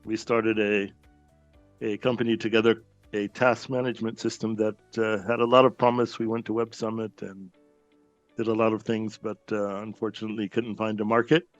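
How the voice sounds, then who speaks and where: A man's voice: fairly low pitch, flat delivery, normal speed, no echo, muffled recording, soft background music. A male interview host casually introducing his guest, rambling a little with many "um"s and "uh"s. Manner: friendly, rambling, lots of "um" and "uh".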